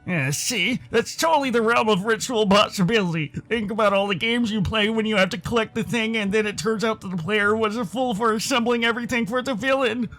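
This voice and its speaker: A man's voice: high voice, brisk, hardly any pauses, a bit bright, faint music behind. An American man voicing a wary, argumentative furry animal character in a visual novel, with a hesitant manner. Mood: suspicious, worried. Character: wary, suspicious male furry animal friend.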